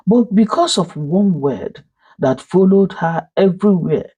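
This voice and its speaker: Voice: mid-range voice, expressive, dark tone, husky. Swooping, theatrical Nigerian storyteller. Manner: emphatic, theatrical, with dramatic pauses. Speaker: storyteller, channel narrator. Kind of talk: dramatic scripted folktale narration.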